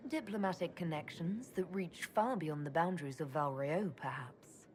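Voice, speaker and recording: An actress playing an authoritative female leader in a video game, speaking calmly and in a measured way. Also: sits low, a little hiss or hum, close to an everyday voice. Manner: calm, measured.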